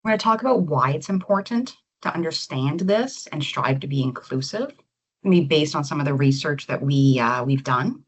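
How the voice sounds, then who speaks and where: Woman, low voice, executive presenting a webinar, slightly muffled audio. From Canada. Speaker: executive director presenting a session.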